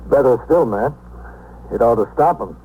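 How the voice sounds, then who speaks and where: An actor playing a calm, methodical police captain in a 1950s radio drama, speaking and narrating in a measured, matter-of-fact way. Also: male voice, mid-range voice, phone-quality sound, natural voice. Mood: businesslike. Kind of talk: 1950s radio acting, dialogue and first-person narration. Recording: noisy, humming 1950s radio recording.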